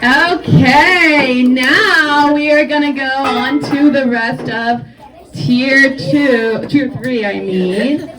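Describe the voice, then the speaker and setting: A woman's voice: high voice, drawn-out words, music in the background, a crowd in the background. A woman hosting a student event as a teacher, making informal announcements to an audience. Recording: distant mic, loud chattering audience.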